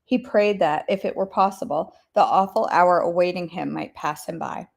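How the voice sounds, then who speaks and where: Wheezy woman devotional host, coughs and clears her throat, chatty and direct with listeners, reads scripture aloud. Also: lowish voice, moderate pace. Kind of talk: casual chat then Bible reading aloud.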